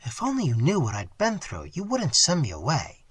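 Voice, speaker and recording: Plucky young stenographer, soft and low; a man reads her. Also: huge pitch swings, normal speed, slightly rough, no echo, full character voice. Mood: pleading.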